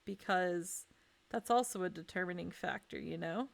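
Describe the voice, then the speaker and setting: A woman hosting a casual game livestream in a playful, expressive way, sounding a little sniffly. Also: lowish voice, moderate pace, gravelly. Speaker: game streamer and host. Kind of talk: casual livestream chat while playing a game.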